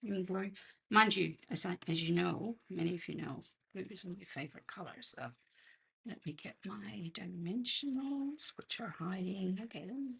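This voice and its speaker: An Australian woman with a croaky voice hosts a casual live craft tutorial, chatting and murmuring to herself as she works. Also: mid-range voice, a bit bright. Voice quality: husky, croaky. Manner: unhurried, chatty, murmuring to herself while working. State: croaky voice, clearing throat. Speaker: host of a craft demonstration livestream.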